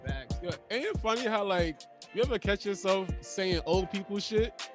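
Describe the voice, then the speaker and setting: Young-sounding male host, high voice, animated slangy banter over music. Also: moderate pace. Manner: loud and animated, laughs a lot, slangy. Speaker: podcast host. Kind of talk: casual chat.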